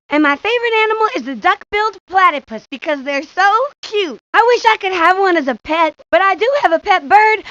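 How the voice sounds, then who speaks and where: A woman's voice: very high voice, pushed and bright, no room sound, full character voice. A woman voicing a modest, friendly third-grade girl in a video game, speaking in a plain, earnest, childlike way. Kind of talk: video game character lines. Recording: dull lo-fi old game audio.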